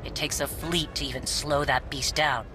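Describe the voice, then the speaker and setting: A woman as commanding warrior leader, low-voiced. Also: steady tone, moderate pace, background noise, natural voice. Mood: stern. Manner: firm, commanding. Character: commanding female Mandalorian warrior leader, proud and resolute. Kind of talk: dramatic video game acting. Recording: music behind dialogue.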